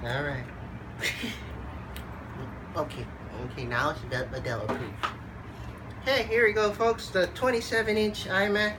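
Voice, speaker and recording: A stuffed-up vlogger with a small cold hosts a relaxed unboxing, talking slowly and pausing often on fillers. The voice sits in a middle register and is heard over steady background noise. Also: medium pitch. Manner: halting, lots of fillers, chats to the dogs; slow, laid-back. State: congested from a cold. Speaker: channel host unboxing a computer. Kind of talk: casual unboxing vlog.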